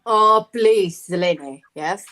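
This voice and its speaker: A woman's voice: fairly low pitch, slow, noisy background. A woman on a live online panel, teasing and bantering casually. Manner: teasing, playful.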